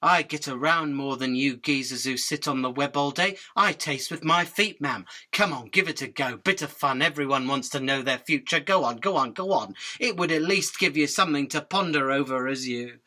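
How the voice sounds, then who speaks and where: A man playing a cheeky, fast-talking fly in a radio drama, pleading and rambling, deferential but impudent. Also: a little high, bright, forceful tone. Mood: pleading, bargaining. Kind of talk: illustrated radio drama acting.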